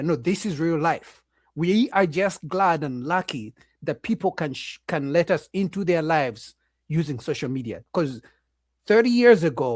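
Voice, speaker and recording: Man giving dry, animated reaction commentary. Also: on the high side, no room sound. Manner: animated, addresses chat directly. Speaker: reaction video host.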